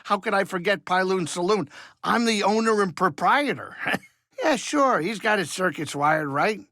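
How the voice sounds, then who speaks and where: A small-time alien starship pilot from a video game, high-voiced and bright. He grumbles and wisecracks in a folksy way, good-hearted underneath, with very lively swings of pitch in a dry, close recording. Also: normal speed, no room sound, full character voice. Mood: chatty. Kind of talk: animated video game character dialogue.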